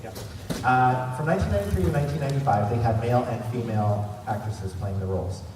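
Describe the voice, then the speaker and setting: A man's voice: medium pitch, fast, over music. A man hosting a convention panel, giving an informal, rambling and enthusiastic fan presentation. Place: large convention centre room. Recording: echoey hall from far off, noisy. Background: room noise.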